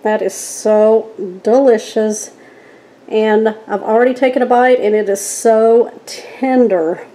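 A woman's voice: a little high, fairly even intonation, slow, warm tone. A middle-aged woman, chatting with viewers as she demonstrates a recipe in her home kitchen. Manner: chatty, folksy, checks in with viewers. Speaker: home cooking host. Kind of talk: cooking demonstration. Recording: echoey kitchen with faint noise.

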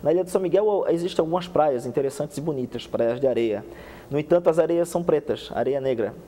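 Fast-talking young man in a TV studio. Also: on the high side, big-room sound. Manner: fast, animated explaining with many restarts and hand gestures. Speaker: guest researcher. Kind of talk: TV studio interview. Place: TV studio set. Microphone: lapel.

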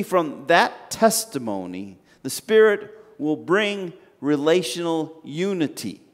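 An older man, a pastor, preaching a sermon from a pulpit in a large church sanctuary, with slow, measured delivery and long pauses. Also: fairly high pitch. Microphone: standing at a pulpit, far camera. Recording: reverberant church hall.

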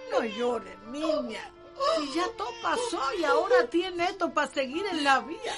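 Older Cuban midwife, enslaved, high voice, slow drawl, earthy, tender, teasing, cackling, radio drama. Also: female voice, big pitch swings, music in the background. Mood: tender, delighted. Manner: folksy, drawn-out, cackling.

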